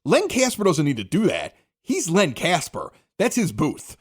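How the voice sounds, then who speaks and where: A man's voice: fairly high pitch, huge pitch swings, a little slow, husky. A man hosting a casual sports talk show, speaking in an opinionated, emphatic, conversational way. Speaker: sports podcast host.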